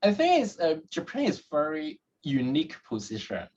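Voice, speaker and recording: Hong Kong-raised male guest, husky and low, halting with repeated words, muffled lo-fi sound. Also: wildly swooping pitch, a little mellow. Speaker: guest, head of data at a startup. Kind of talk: podcast interview answers.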